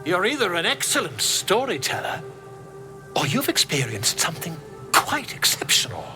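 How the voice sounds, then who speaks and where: A man's voice: sits high, wildly swooping pitch, normal speed, music in the background. A man voicing a chatty, self-important amateur scholar in a video game, theatrical and easily flustered. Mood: curious, incredulous. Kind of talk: video game character dialogue.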